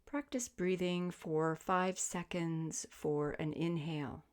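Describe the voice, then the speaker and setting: A woman with a low-pitched voice leads a guided relaxation as a yoga teacher. She gives her instructions gently and soothingly, as measured invitations with space left between them. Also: fairly even intonation. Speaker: yoga nidra meditation teacher. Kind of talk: guided sleep meditation, spoken instruction.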